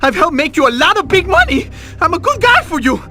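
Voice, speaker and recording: A nervous, grovelling gangster. His pitch swings widely as he pleads and stammers, with game music underneath. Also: wildly swooping pitch, a little fast, pushed and bright, exaggerated voice. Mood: terrified. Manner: servile, stammering, superstitious. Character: cowardly, fawning male street gangster, nervous and pleading. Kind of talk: dramatic video game cutscene acting.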